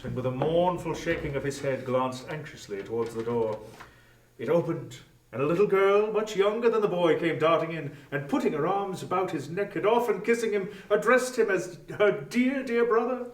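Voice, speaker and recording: A man's voice: fairly high pitch, slight background noise. Echoey, theatrical male storybook narrator. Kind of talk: dramatic scripted literary narration. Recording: echoey room, distant from microphone.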